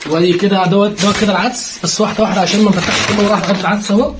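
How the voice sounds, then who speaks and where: A man's voice: high-pitched, fairly even intonation, racing. A man with an Egyptian Arabic accent listing and counting groceries in a matter-of-fact way, heard from off-mic. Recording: off-mic with noticeable background noise.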